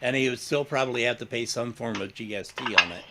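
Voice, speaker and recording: A man's voice: mid-range voice, fast, over a noisy crowd. A man co-hosting a casual roundtable podcast, telling rambling, jokey stories. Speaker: podcast co-host. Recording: noisy home call audio.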